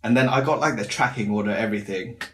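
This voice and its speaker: A man's voice: fairly low pitch, quick pace, a bit bright. A young man from Wales, chatting casually and rambling through stories with lots of fillers. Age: young adult. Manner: rambling storyteller, many fillers and restarts. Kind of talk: casual chat.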